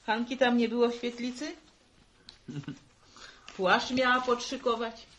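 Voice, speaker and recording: A woman's voice: fairly high pitch, level tone, a little slow, fairly bright tone. A woman acting a middle-aged farm mother in a radio drama, practical and chatty, given to teasing, fretting and folksy exclamations. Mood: inquisitive teasing.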